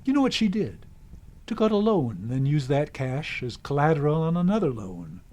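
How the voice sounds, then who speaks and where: A man's voice: fairly high pitch, very animated, moderate pace, warm tone. An actor playing a cranky, world-weary old man in the woods, with a folksy, grumbling manner, in a radio drama. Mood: bitter, grumbling.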